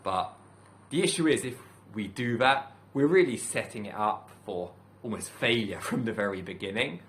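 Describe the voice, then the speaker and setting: A man from the UK, a teacher, giving a calm, thoughtful talk to camera in a measured way with many pauses. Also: lowish voice. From United Kingdom. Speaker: teacher and channel host. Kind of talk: reflective solo talk to camera.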